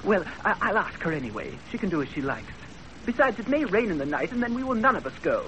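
A man's voice: high voice, very animated, fast talker, a bit bright, slight background noise, poor audio. An actor playing a breezy, teasing young Japanese man in a 1930s radio drama, with a playful manner.

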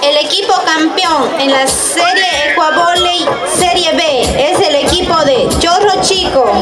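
A woman with an Ecuadorian accent making formal award announcements at a public ceremony. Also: extremely high pitch. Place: covered outdoor stage in a public square. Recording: echoey, distant PA with music and crowd. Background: loud music and crowd.

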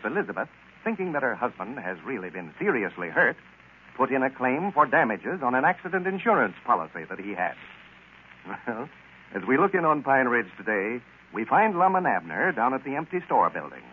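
An American man announcing and pitching a sponsor in folksy, polished 1930s radio style. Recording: scratchy, tinny 1930s radio broadcast.

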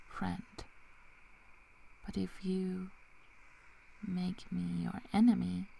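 Woman playing a slow, creaky whispering werewolf girl. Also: on the low side, a little hiss or hum, heavily acted, rough recording. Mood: guarded, warning. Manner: soft, halting, breaking into whispers. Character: loyal, protective young female werewolf. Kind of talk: whispered ASMR roleplay.

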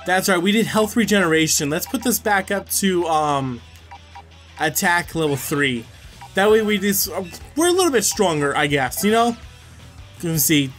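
A man's voice: high voice, expressive, moderate pace, fairly bright tone, faint music behind. A man giving casual, rambling gameplay commentary as he plays, swearing freely and often repeating himself. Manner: profane, rambling, often repeats words and exclaims. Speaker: gaming video creator and player.

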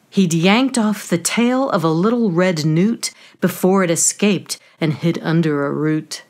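A woman author reading a children's story in rhyme, with an expressive, measured storytelling manner. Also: fairly low pitch.

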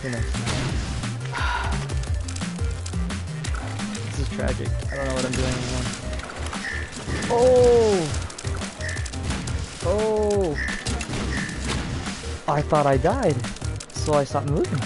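A man doing casual live commentary while playing a video game, excitable, blurting exclamations and repeating his phrases. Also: medium pitch, a little slow, vocal fry, long pauses. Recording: loud game music behind the voice. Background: loud video game music.

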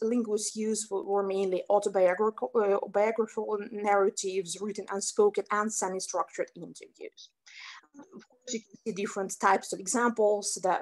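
A middle-aged woman whose first language is Russian, giving a thoughtful slide presentation over a webcam from home, with fillers and restarts. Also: medium pitch. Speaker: researcher presenting a poster talk. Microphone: webcam on a video call.

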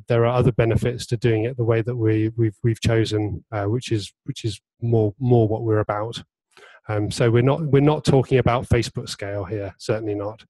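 A man's voice: medium pitch, darkish tone, no room sound, low-quality recording. Hesitant man answering tech questions. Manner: hesitant, many restarts and fillers. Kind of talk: Q&A answers at a meetup. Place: meetup talk venue indoors.

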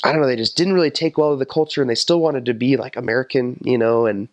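A young man in his twenties talks casually about his time as a missionary, with lively delivery and plenty of "um" and "you know". His voice sits at an ordinary middle pitch. The lapel-mic sound is close and dry, with no room echo. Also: fast talker. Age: 20s. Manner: animated, talks with his hands, many fillers. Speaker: returned missionary. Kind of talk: casual interview answers. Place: indoor office with window.

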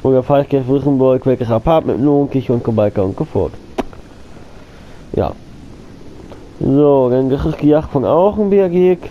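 Guy with a cold chatting over his game, coughs, kinda dull lo-fi mic, mid pitch. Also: lively intonation, moderate pace, pauses often, a little hiss or hum. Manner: rambling, repeats himself, addresses viewers as friends. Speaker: gaming channel host. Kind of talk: casual gameplay commentary.

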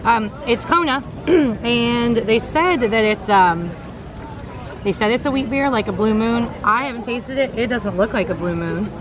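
A woman's voice: fairly high pitch, measured pace, rough recording. A woman in her thirties chatting casually on a vlog at a theme park, candid and a little hesitant, recorded on a handheld camera. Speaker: vlogger. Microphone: handheld camera at arm's length. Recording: outdoor park with music and crowd noise. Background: crowd and park music.

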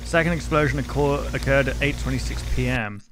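A man's voice: mid-range voice, brisk, music in the background, rough recording. A man in his twenties giving casual reaction commentary and reading captions aloud into a close desk microphone. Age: 20s. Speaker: reaction video host. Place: small home room, indoors. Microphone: desk microphone close to mouth, headset worn.

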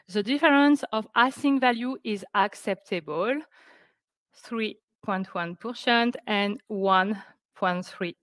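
Woman, measured slow pace, careful halting delivery, scientific webinar presenter, dry close sound. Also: mid-range voice, dry recording. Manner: careful, halting non-native English delivery. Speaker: researcher presenting project results. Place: indoors on a video call.

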